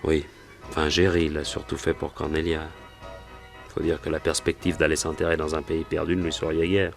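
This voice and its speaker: An actor playing an anxious, plain-spoken working man who is a witness in a French radio crime drama, talking in a halting, colloquial way. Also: extremely low pitch, level tone, gravelly, soft background music. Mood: explanatory.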